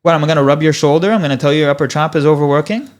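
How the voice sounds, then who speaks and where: Animated Canadian man explaining. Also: on the high side, rapid-fire. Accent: Canadian English. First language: Polish. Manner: animated, explanatory, asks rhetorical questions. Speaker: podcast guest, physiotherapist. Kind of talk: casual conversational interview.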